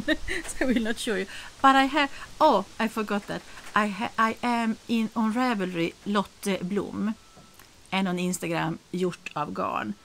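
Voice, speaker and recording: A woman's voice: medium pitch, slight background noise, poor audio. A middle-aged woman from Sweden with a Swedish accent chats casually to camera as a podcast host, often searching for words and rephrasing.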